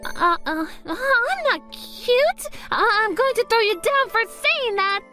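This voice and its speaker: Woman voicing a high-pitched, brash teenage rebel girl. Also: animated, slow, bright, forceful tone, full character voice. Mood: flustered, defiant. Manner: mocking bravado slipping into bashful stammering. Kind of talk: anime-style romcom character acting. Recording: music under the voice. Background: background music.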